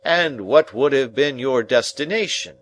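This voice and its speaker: A man voicing a pompous, blustering middle-aged man who lectures a boy in a loud, drawn-out, self-important way. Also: medium pitch, expressive, no room sound, voice pushed up. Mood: hectoring.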